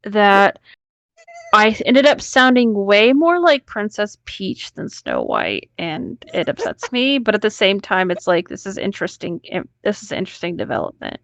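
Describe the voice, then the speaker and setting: Casting: female co-host, medium pitch, dry close recording, casual story reading and banter. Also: sing-song, moderate pace, a bit bright, no echo. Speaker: co-host reading online stories aloud.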